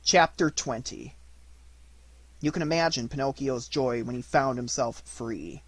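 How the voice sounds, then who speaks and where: A man reading a storybook as scripted audiobook narration, in deliberate, measured phrases. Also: medium pitch, dry recording. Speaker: audiobook reader.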